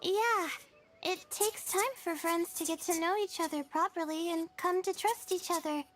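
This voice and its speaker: Really high girlish voice, earnest kid in an anime game, bit hesitant. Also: moderate pace, a bit bright. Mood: curious, earnest. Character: Layla, earnest, soft-mannered young girl. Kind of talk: anime fantasy game voice acting.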